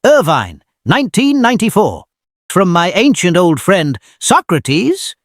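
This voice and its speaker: A man's voice: extremely high pitch, no echo, clean studio sound, heavily acted. A man voicing an old, kindly music teacher figure who narrates in quaint storyteller speech, saying "me" for "my". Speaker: narrator of a children's music series.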